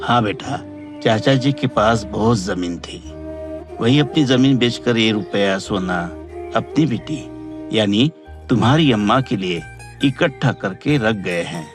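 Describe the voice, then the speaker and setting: A man's voice: fairly low pitch, lively intonation, unhurried, over music. A man playing a humble, loyal older servant uncle in a Hindi cartoon story. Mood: humble, content.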